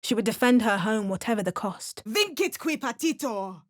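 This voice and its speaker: A woman playing a wry, determined adventurer in a fantasy video game, speaking in a measured, theatrical way. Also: fairly high pitch, expressive, dry recording, clean studio sound, close to an everyday voice. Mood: commanding incantation. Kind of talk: video game voice lines, dramatic narration and incantations.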